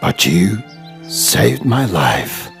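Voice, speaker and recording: A man voicing a grateful, regal lion king in a children's storybook, with a deep, gravelly voice and a solemn delivery. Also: very animated, extremely slow, a bit bright, soft background music, full character voice.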